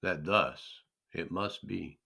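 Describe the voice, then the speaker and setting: A man voicing a calm, authoritative holy teacher in a dramatic scripture reading, grave and measured. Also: low-pitched, gravelly, husky, pitched below the performer's own voice, heavily acted. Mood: firm reproach.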